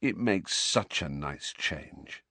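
A mischievous teenage boy , as a male narrator puts him on, wry and relaxed, with lively rises in pitch. Dry, close storybook sound. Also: takes it slowly, slightly rough, no room sound, heavily acted.

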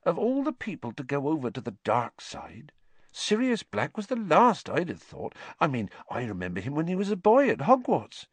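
A man's voice: on the high side, huge pitch swings, normal speed, breathy edge, no room sound, voice pushed up, heavily acted. A man voicing a chatty, curious female pub landlady with a familiar, gossipy manner in a storybook.

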